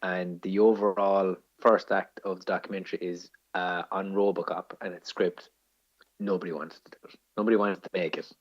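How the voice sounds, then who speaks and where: Deep-voiced Irish man, slightly muffled podcast. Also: level tone, normal speed. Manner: enthusiastic, sweary film-geek explainer. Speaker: podcast co-host. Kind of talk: casual film discussion. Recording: dull lo-fi podcast audio.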